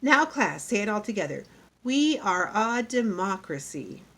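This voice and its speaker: A woman's voice: mid-range voice, very animated, a little slow, bright, forceful tone, heavily acted. A woman voicing a prim, patient schoolteacher in an audiobook, precise, didactic and emphatic as she instructs her class. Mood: instructing.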